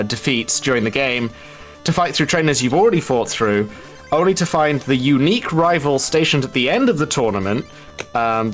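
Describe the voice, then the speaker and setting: A man giving live commentary on a game race, wordy and prone to drawn-out emphasis. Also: medium pitch, a bit bright.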